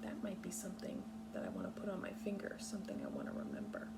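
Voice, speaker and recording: A woman leading a read-aloud lesson for young children, speaking patiently in simple, deliberate, instructional phrases. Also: on the low side, wildly swooping pitch, creaky, in a whisper. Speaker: kindergarten teacher reading a picture book aloud.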